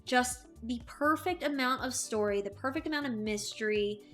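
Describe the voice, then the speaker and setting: A woman with a slightly high-pitched voice co-hosts a casual review show. She talks conversationally and with emphasis, readily agreeing as she goes. Faint music sits behind her voice. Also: moderate pace, a bit bright. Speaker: co-host of an audiobook review show. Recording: music under the voice.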